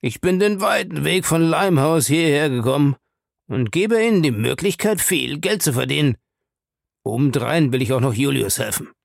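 Male reader as a wheedling crook, very creaky gravel, dry close sound, scornful, ironic, audiobook dialogue. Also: fairly high pitch, expressive, normal speed, no echo, voice pushed up. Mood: insistent, coaxing. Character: shady, pushy, wheedling male schemer with scornful streak.

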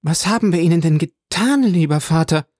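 Male narrator as tender, tearful young heiress, pitched up, pleading, dry audiobook sound. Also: lively intonation, moderate pace, darkish tone, no echo. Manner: raised, emotional pleading tone. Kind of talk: audiobook character voice.

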